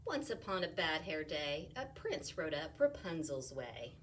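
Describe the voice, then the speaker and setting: A woman reading a picture book aloud to children in an animated way, asking them questions and sometimes dropping to a whisper. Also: low-pitched, a little slow, distant mic, a little hiss or hum. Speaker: storytime reader, teacher.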